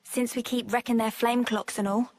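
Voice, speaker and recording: A woman's voice: a little high, level tone, normal speed, darkish tone, dry recording. A woman playing a brash, feisty young soldier in a video game with slangy, punchy talk. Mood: disgusted. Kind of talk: video game cutscene voice acting.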